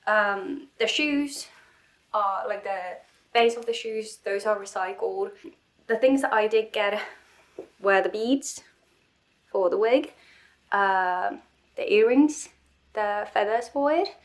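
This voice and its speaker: A woman's voice: medium pitch, takes it slowly, pauses often. Young woman vlogging, stuffy and sniffly, rambly and self-deprecating, lots of restarts. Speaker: cosplay vlogger. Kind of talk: casual vlog talk.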